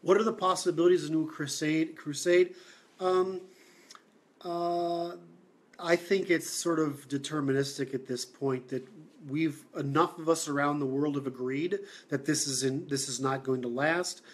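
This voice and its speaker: A man from Seattle hosting a livestream, answering comments in a halting, blunt way with many fillers and restarts. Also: on the high side. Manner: blunt, opinionated. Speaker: livestream host.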